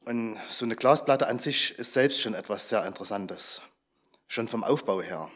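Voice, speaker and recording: A slightly hoarse man who is under the weather gives a chatty, informal talk to a gathered congregation and keeps asking them questions. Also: on the low side, expressive, moderate pace, fairly bright tone. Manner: chatty, asks the audience questions.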